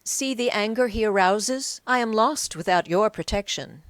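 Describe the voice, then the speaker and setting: A woman's voice: mid-range voice, normal speed, no room sound, voice pushed up, natural voice. A woman narrator playing a brazen, defiant prostitute in an audiobook, with practiced, pleading protests.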